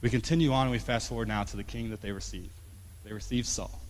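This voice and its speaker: A man in his late twenties preaching a sermon as pastor, talking conversationally and swinging from emphatic to quiet. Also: on the low side, fairly even intonation, fast talker. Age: 20s. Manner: conversational, rising to emphatic then dropping soft. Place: church sanctuary. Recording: long church hall reverb.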